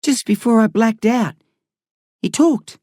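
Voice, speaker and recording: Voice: mid-range voice, animated, slow pace, warm tone, no room sound, clean studio sound, voice pushed up. A man voicing an earnest, loyal thirteen-year-old boy in an audiobook, eager and questioning. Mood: earnest, insistent. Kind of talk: audiobook character voice.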